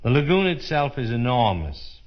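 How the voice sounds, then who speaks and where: An American man speaking as a tour guide in a scripted 1930s radio presentation, formal, measured and declamatory. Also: medium pitch, sing-song. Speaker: fair official acting as tour guide. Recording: dull, muffled 1930s radio broadcast.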